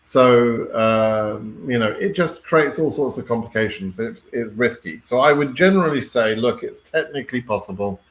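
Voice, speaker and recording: A British man hosts a casual live Q&A, reading questions and answering them off the cuff. His voice sits at an average pitch for a man. He is blunt and wry, a little exasperated, and often checks that listeners follow. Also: normal speed, hardly any pauses, low-quality recording. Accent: British English. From Britain.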